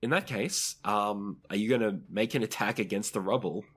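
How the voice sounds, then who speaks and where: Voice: mid-range voice, normal speed, slightly rough. A game master running a tabletop role-playing game, narrating scenes and calling for rolls in a conversational way.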